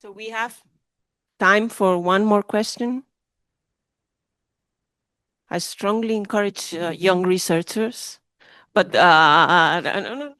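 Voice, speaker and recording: A woman chairing a conference session, introducing speakers and taking questions, slow and hesitant with fillers. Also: mid-range voice, a bit bright, smooth, no room sound.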